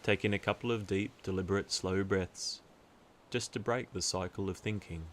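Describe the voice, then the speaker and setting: Low-voiced man guiding a meditation. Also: slight background noise. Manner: calm, soothing, deliberate, with long pauses. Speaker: meditation guide. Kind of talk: guided meditation narration.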